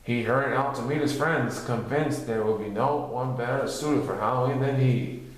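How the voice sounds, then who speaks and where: A man narrating a children's storybook as an expressive reader. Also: medium pitch, normal speed. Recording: strongly echoey room.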